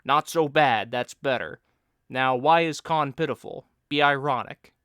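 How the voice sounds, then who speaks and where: A man voicing a lazy, joking friend who teases and mock-lectures in a loud, raised voice. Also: medium pitch, a little slow, clean tone, dry recording, clean studio sound, lightly acted. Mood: mock lecturing.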